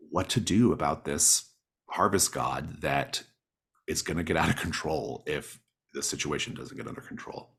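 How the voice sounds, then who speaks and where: A man's voice: low-pitched, breathy edge. A man speaking casually and encouragingly as a game master, explaining rules and setting to his players. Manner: encouraging, affirming players' ideas.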